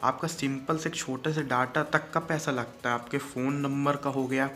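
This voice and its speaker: A man's voice: medium pitch, a bit bright. A man with an Indian accent talking casually to viewers as a vlogger, explaining things directly and mixing in English words.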